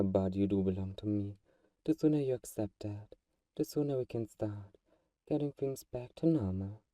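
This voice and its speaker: A man playing a possessive vampire who is gentle, coaxing and condescendingly protective, in a soft-spoken, intimate ASMR roleplay. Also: lowish voice, no room sound, far from the mic, lightly acted. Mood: tender, possessive.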